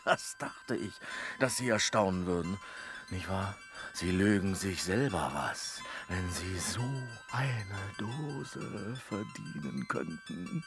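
An actor in a German radio play playing a cheeky, boastful manservant who blusters and swings between sly muttering and protest. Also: male voice, low-pitched, huge pitch swings, takes it slowly, over music, exaggerated voice. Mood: sly, smug.

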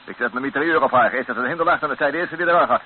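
An actor playing a brash, ruthless mercenary captain in a radio drama, blunt and commanding. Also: male voice, medium pitch, very fast, lightly acted. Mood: hard, callous. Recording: tinny band-limited old radio recording, noisy.

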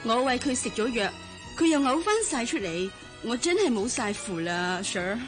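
High-pitched, flustered Filipina maid. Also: female voice, big pitch swings, normal speed, over music. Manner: deferential, fretful. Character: flustered Filipina maid, deferential to her employer. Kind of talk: Cantonese radio drama acting.

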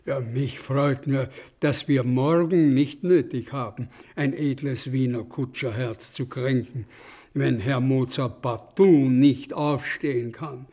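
An actor playing a jovial, generous aristocratic count in a period radio drama, with a hearty, courtly manner. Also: male voice, medium pitch, fairly even intonation, slow pace, mellow.

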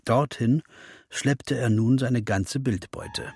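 A man playing a sober, sardonic chronicler, narrating in a measured, precise documentary style for a radio drama. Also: medium pitch, creaky, a little mellow, a little hiss or hum. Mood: matter-of-fact.

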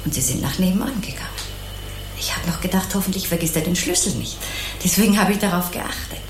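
Radio-play housewife, played by a woman: lowish female voice, artless and obliging, rambling kindly, music behind her in a reverberant room. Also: expressive, fast talker, close to an everyday voice. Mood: earnest recollection. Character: chatty, naive, kindly housewife, dog trainer's wife. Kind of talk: 1980s German crime radio play dialogue.

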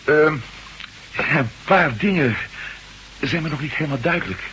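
A bewildered, groggy fellow who halts, mutters and exclaims. His voice is sharp and swings widely in pitch, in an old radio drama. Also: animated, unhurried, rough recording. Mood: groggy, wary. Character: confused, groggy male ex-astronaut with a sore head. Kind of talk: Dutch radio play acting. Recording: old radio recording with light hiss.

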